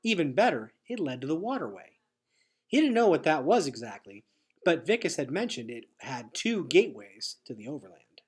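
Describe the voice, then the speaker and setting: A man with a husky voice reading a children's novel aloud as expressive storybook narration. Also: fairly high pitch, normal speed. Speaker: teacher reading a chapter aloud.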